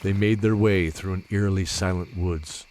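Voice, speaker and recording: A man narrating a scripted fantasy audio drama in measured storytelling style. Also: low voice, fairly even intonation, a little hiss or hum. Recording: loud music behind narration.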